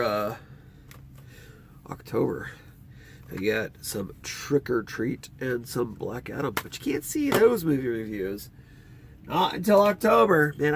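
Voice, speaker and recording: A man's voice: vocal fry, a little hiss or hum. Congested man rambling about his movies. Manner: chatty, rambling, lively swings in pitch. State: congested nose. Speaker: movie collection vlogger. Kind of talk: casual collection walkthrough.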